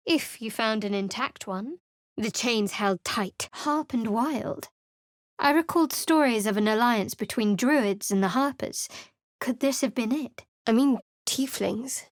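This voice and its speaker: A woman's voice: a little high, no echo, close to an everyday voice. A woman voicing a dry, guarded cleric. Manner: cool, dry and guarded, with wry remarks. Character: guarded, sardonic young female cleric. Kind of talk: video game companion voice lines and narration.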